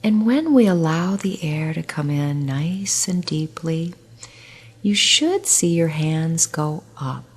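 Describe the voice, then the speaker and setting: A slow, low-pitched woman's voice with a breathy, husky edge. She is a middle-aged nurse talking listeners through breathing and muscle relaxation, soft and soothing, often sinking almost to a whisper. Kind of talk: guided relaxation script.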